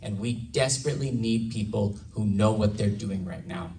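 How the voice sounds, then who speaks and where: A hoarse, slightly raspy man giving an earnest campaign speech at a candidate forum. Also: lowish voice, normal speed, warm tone, far from the mic, a little hiss or hum. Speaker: congressional candidate. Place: large event hall.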